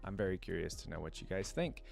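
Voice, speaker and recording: A man's voice: sits low, animated, quick pace, vocal fry, darkish tone. A man hosting a reaction video, commenting casually, reading things out and talking directly to his viewers. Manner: conversational, addresses viewers directly. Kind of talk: casual commentary, reading on-screen text aloud.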